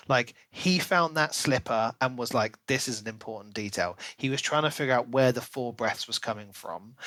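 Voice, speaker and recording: A man co-hosting a podcast in a casual book discussion, talking in an animated, rambling way and acting out imagined quotes. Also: medium pitch, no room sound. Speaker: podcast co-host reviewing a book.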